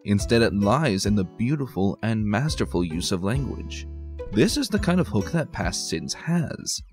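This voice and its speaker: Man, lowish lively voice, scripted review commentary, emphatic pauses, faint guitar music behind. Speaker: host, reviewer of fan fiction.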